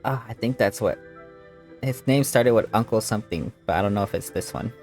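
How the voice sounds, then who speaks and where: A woman's voice: rumbling low, brisk. A woman hosting a gaming video, giving casual, slangy gameplay commentary and reactions. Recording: game music behind the voice.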